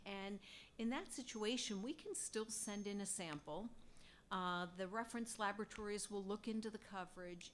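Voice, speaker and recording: A woman's voice: mid-range voice, a little hiss or hum. A woman speaking as a genetic counselor on a medical panel, explaining steadily.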